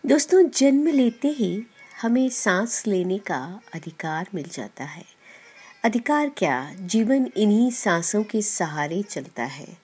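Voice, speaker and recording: A woman podcast host reading a short story in a measured, deliberate storyteller's delivery. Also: medium pitch, big pitch swings, darkish tone, husky. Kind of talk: scripted storytelling narration. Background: background music.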